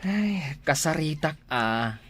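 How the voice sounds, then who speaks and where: A woman plays an exclamatory Ilocano villager in a homespun comedy drama. She frets and complains with lively, swooping intonation, recorded close and dry. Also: low-pitched, slow, no echo, full character voice. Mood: resigned, confiding.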